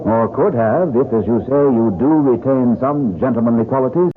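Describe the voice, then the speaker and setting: An actor playing an earnest, protective gentleman in a radio drama, formal and somewhat exasperated. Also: mid-range voice, moderate pace, lightly acted. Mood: measured. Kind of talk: old-time radio drama acting. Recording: tinny old radio recording, slight noise.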